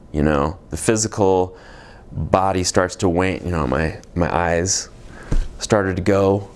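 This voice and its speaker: A man's voice: sits low, a little mellow. A man in his forties, an energy healer, giving a reflective, meandering spiritual talk with many fillers and restarts. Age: 40s. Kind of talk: unscripted spiritual teaching monologue.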